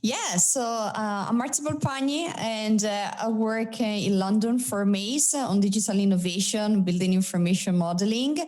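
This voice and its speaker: A woman in her thirties speaks English with an Italian accent, her voice mellow and dark in tone. She answers as a guest expert, pausing often with fillers and restarting her sentences. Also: mid-range voice. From Italy. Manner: hesitant, many fillers and restarts. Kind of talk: interview answers.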